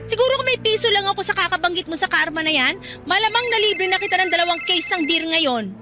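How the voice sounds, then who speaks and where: A woman's voice: extremely high pitch, expressive, quick pace, over loud music. A woman playing a hard-headed, unrepentant young moocher in a radio drama, brash, dismissive and sarcastic. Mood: annoyed, dismissive.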